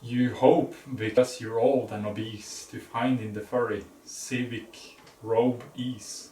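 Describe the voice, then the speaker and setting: Male amateur reader, slow and stumbling through old verse in a roomy, slightly distant recording. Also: mid-range voice, fairly even intonation, warm tone, slight background noise. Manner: halting, checking unfamiliar words. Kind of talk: reading old verse aloud. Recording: echoey, distant microphone.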